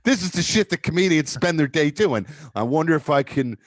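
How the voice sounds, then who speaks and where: A deep-voiced male comedian running through a stand-up bit with profane, self-mocking asides. His pitch swings widely as he talks. The sound is dry and close. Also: expressive, fairly quick, dry recording.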